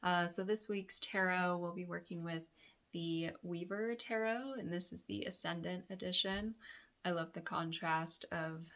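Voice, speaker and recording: A woman with a raspy, dry-throated voice giving a weekly tarot forecast, offering reflective, meandering guidance to her viewers. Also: on the low side, fairly even intonation, unhurried, fairly bright tone. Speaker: tarot reader and yoga therapist.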